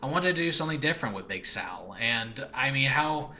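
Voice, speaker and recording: A man's voice: mid-range voice, lively intonation, brisk, bright, forceful tone. A man hosting a casual solo podcast, talking enthusiastically and off the cuff, often rambling and interrupting himself. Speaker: podcast host, writer and voice actor of audio dramas. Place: room in a new home, no regular recording space. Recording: faint background noise, makeshift home recording space.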